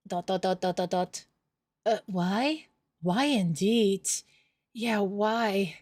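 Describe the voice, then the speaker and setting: A woman reading a visual novel aloud as a gameplay host, expressive and theatrical, with playful asides. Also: mid-range voice, slow pace, no echo, clean studio sound.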